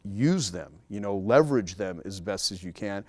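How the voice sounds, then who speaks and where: A man's voice: fairly low pitch, animated, normal speed, no room sound. A man speaking as a guest in a casual interview, talking emphatically and repeating key words to drive a point home.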